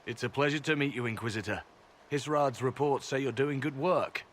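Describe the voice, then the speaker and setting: A man's voice: medium pitch, normal speed, a little hiss or hum, close to an everyday voice. Man playing a composed, earnest fantasy agent. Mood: polite. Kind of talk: video game dialogue.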